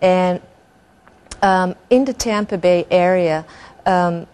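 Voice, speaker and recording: A woman's voice: fairly low pitch, slow pace, darkish tone, clean tone. A woman from Belgium, answering interview questions in an earnest, articulate and considered way. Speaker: interview guest, nonprofit executive director.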